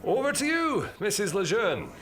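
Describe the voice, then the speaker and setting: An actor playing an affable, slightly awkward British village vicar in a radio soap. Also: male voice, high-pitched, wildly swooping pitch, moderate pace, a bit bright, exaggerated voice. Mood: cheery announcing.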